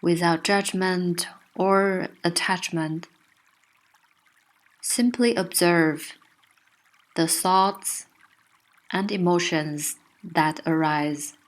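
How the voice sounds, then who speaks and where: A woman narrating a guided meditation with gentle, soothing, unhurried instruction. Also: fairly low pitch.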